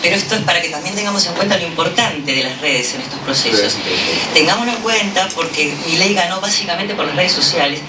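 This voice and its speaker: Woman with a lowish voice urging the crowd, roomy echo, loud noise behind. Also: fast talker, few pauses. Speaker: speaker at a political gathering. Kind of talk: persuasive remarks to an audience. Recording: echoey room, distant mic, loud noise.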